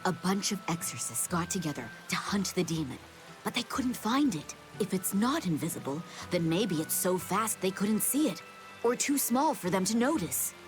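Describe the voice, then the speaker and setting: A woman's voice: mid-range voice, big pitch swings, normal speed, natural voice. A woman playing a chatty, gossipy, slightly anxious townswoman in a video game, given to speculation. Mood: chatty, curious. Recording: music behind.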